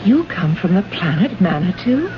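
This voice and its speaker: A muffled, noisy vintage radio drama recording of an earnest woman diplomat from the future. Her voice swings widely in pitch as she questions, puzzled and emphatic. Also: big pitch swings, moderate pace. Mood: startled. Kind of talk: old-time radio drama acting.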